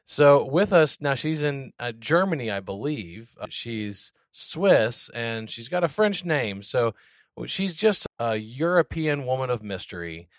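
A man hosting a podcast, chatting in a relaxed, casual way with plenty of filler words. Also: mid-range voice, unhurried, no echo, clean studio sound. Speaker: podcast host. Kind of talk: casual interview chat.

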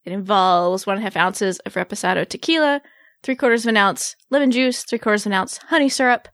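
A woman's voice: medium pitch, fast talker, a bit bright, no room sound, studio quality. A woman hosting a podcast in a casual, chatty and teasing way. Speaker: podcast host.

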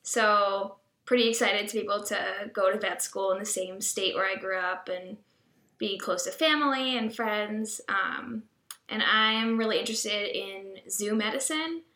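A woman's voice: medium pitch, measured pace, pushed and bright. A young woman from the Boston area, a veterinary student, chatting casually and easily on a podcast. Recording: roomy echo.

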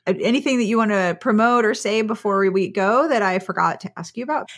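A woman's voice: medium pitch, a little fast. A woman hosting a casual podcast interview, talking in an informal, effusive, slangy and self-deprecating way. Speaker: podcast host interviewing guests.

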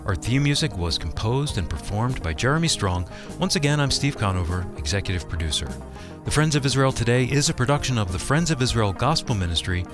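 A man's voice: mid-range voice, big pitch swings, brisk. A man announcing and co-hosting a radio program in a scripted broadcast style. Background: music playing under the voice.

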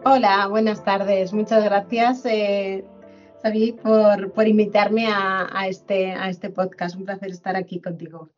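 A middle-aged woman from Madrid talks as a podcast guest. Her pitch is mid-range and her manner measured, with frequent fillers and repetitions. The recording is slightly dull and lo-fi. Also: flat delivery, darkish tone, faint music behind. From Spain, Madrid. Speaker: guest, HR director. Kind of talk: conversational interview.

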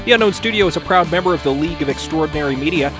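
A man's voice: a little high, very fast. A man reading a scripted podcast outro as announcer. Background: plucked guitar music.

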